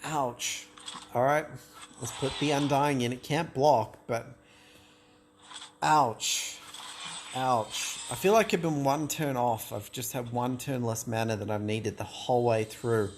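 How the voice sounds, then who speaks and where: A man's voice: mid-range voice, slow pace, a little hiss or hum. A man commentating his own card game match, thinking aloud in a hesitant, self-doubting way, with many restarts and fillers. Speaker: gameplay commentator.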